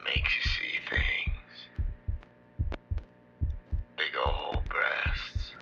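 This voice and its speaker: An actor playing a lewd, wine-addled drinker, in a breathy, leering whisper for a comic audio drama. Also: male voice, bright, forceful tone, highs cut off, exaggerated voice. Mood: leering, woozy. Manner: slow, leering. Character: lewd, woozy wine-addled drinker praising his bottle. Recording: music under the voice.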